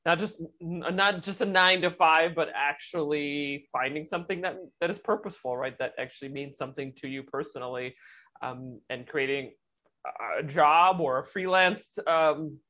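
A woman's voice: deep voice, normal speed, a bit bright. A woman hosting a podcast interview, agreeing enthusiastically and asking her guest questions. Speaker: podcast host.

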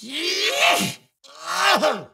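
A man voicing a boastful alien racing villain in a video game, shouting short exclamations. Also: very high voice, huge pitch swings, extremely slow, creaky, loud music behind the voice, full character voice. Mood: boastful, excited.

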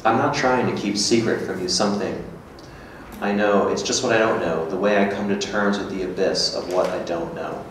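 A man's voice: sits low, steady tone, moderate pace, close to an everyday voice. A man performing a brooding, guarded, melancholy character in a staged literary reading. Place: small gallery room before an audience. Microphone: stand microphone, heard from a distant camera. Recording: echoey room, distant mic, faint noise.

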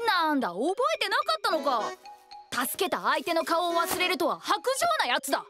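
A tiny, boastful boy fairy from an anime cartoon. The voice is extremely high and swoops about with brash indignation. Loud music plays behind it. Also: big pitch swings, a little fast. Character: tiny cocky, hot-tempered boy fairy, loud and self-important. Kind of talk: exaggerated Japanese anime voice acting. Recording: background music under the dialogue.

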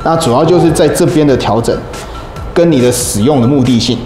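Brisk man explaining gym equipment. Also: mid-range voice. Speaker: fitness equipment instructor. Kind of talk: instructional demonstration. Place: small indoor office gym. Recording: roomy room with background music.

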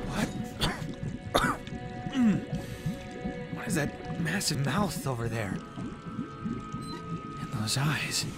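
A man acting a beleaguered young adventurer trapped in a dungeon in a fantasy audio drama, talking to himself in halting asides. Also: medium pitch. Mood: uneasy, awed. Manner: talks to himself in halting, breathy asides with sighs. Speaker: voice actor.

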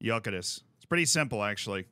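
Animated, bright-voiced American man. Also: fairly low pitch, a little fast, slight background noise. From United States. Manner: animated, rambling explanations with many "right?". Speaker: streamer. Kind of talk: casual chat while playing.

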